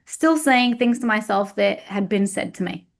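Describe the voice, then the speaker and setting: Woman, arabic first language, open reflective guest talking about her past, mid pitch. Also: expressive, moderate pace, creaky. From Egypt. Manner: open and reflective, tells painful memories plainly. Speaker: guest, weight loss coach. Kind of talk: candid personal storytelling.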